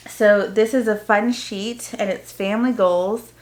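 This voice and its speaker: Female homeschool-parent vlogger, average pitch, casual show-and-tell delivery with plain explanations. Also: steady tone, measured pace. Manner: informal, explanatory. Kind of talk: casual unboxing show-and-tell, reading printed items aloud.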